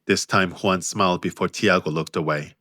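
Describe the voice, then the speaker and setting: A man narrating his own audiobook in a measured, understated way. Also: low-pitched, steady tone, bright, forceful tone, no room sound, studio quality. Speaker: author and narrator.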